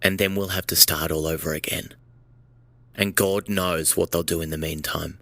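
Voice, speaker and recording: A male actor plays a fidgety man weighed down by guilt in an audio drama. His voice is low and sharp, recorded close and dry, and he halts and repeats himself as he plots to put things right. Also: fairly even intonation, moderate pace, vocal fry, dry recording, full character voice. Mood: urgent, nervous. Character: fidgety, guilt-ridden man plotting to undo his damage.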